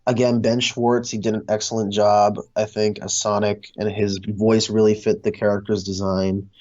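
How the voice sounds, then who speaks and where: A man's voice: fairly low pitch, level tone, normal speed, a little mellow. A man in a casual movie discussion, speaking hesitantly as he thinks aloud. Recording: dull, lo-fi sound.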